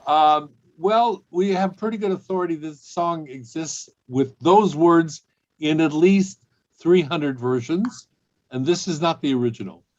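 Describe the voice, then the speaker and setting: A man's voice: fairly high pitch, a little slow, a little mellow. A man leading a service over a video call, playful and hesitant with many ums. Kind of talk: casual service hosting. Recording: dull, lo-fi call audio.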